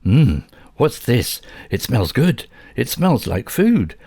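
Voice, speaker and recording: A man voicing an excitable young female pet dog in a storybook, yapping and barking aloud. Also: medium pitch, slow pace, vocal fry, husky, dry recording, studio quality, voice pushed up, exaggerated voice. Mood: curious. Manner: frantic yapping, barks aloud.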